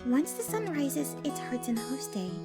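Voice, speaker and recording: A woman's voice: high-pitched, big pitch swings, measured pace, loud music behind the voice, exaggerated voice. A woman voicing an affectionate, eager young pony princess in an earnest, emotive way for a fan fiction reading. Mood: tender.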